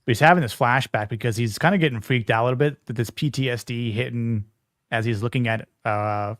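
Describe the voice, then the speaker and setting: A man of middle pitch hosts a loose film discussion and rambles through his points with lots of ums and likes. The sound is dry and close. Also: brisk, no echo. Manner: rambling, many fillers, laughs often. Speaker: podcast host.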